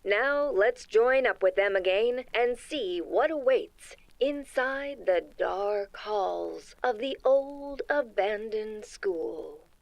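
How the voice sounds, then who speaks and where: A woman playing the narrator of a spooky mystery serial, telling the story in a deliberate, measured way. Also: medium pitch, animated, gravelly, dry recording. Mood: measured, suspenseful. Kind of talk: scripted audio drama narration. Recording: slightly dull, lo-fi dry recording.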